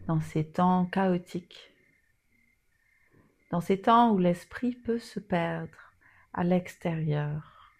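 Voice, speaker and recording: A woman leading a guided yoga nidra meditation in a soothing, soft manner with long pauses. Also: fairly low pitch.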